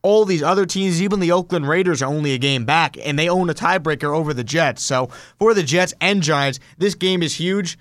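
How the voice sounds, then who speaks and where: A man's voice: fairly high pitch, brisk, hardly any pauses, fairly bright tone, no echo, studio quality. An American man hosting a sports talk show, giving fluent, emphatic football analysis. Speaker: sports podcast host.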